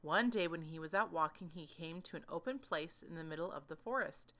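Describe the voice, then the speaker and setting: A woman's voice: low voice, expressive, a little fast, bright, forceful tone. A woman storyteller reading a children's book aloud, expressively and with dramatic emphasis.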